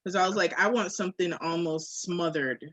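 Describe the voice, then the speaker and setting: A woman's voice: on the low side, fairly even intonation, normal speed, no echo. A woman co-hosting a podcast in candid, casual chat, telling things conversationally with little acted asides. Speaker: podcast co-host.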